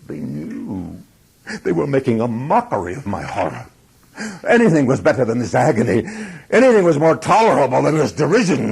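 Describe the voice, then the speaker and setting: A man performing a guilt-crazed murderer's confession as a dramatic Gothic horror reading, theatrical, moving between hushed tones and screams. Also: high voice, creaky, husky, pitched above the performer's own voice, full character voice, low-quality recording. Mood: frantic, tormented. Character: guilt-crazed murderer confessing, boastful then frantic with terror.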